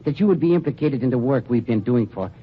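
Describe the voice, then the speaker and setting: An actor playing a sly, urbane undertaker in a 1940s radio drama, speaking politely and precisely with dry irony. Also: male voice, medium pitch, lively intonation, fast talker, lightly acted. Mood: accusing, smug. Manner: polite, dryly ironic, precise, mock-regretful. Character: urbane, sly male undertaker double-crossing gangsters. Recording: muffled, noisy 1940s radio recording.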